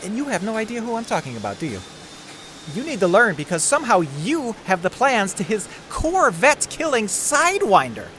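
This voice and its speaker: A man plays a friendly, excitable male starship mechanic in a sci-fi radio drama. His delivery swings widely in pitch, with faint noise in the background. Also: a little fast, full character voice. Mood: amazed. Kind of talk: audio drama acting.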